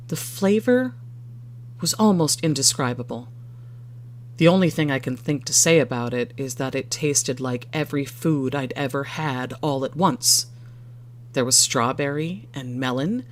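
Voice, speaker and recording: A storyteller reading a first-person short story in a measured, expressive way. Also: mid-range voice.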